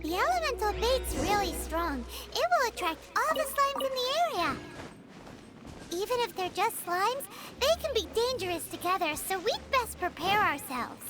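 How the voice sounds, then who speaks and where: A woman's voice: very high voice, big pitch swings, moderate pace. A woman voicing a tiny floating female fairy companion who talks about herself in the third person, chirpy and a little greedy, in video game dialogue. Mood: eager. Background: game music.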